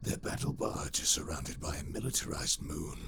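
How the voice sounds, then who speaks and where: A man playing a gravelly, blunt traitor officer. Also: extremely low pitch, moderate pace, in a whisper. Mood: matter-of-fact. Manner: dry, matter-of-fact. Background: music.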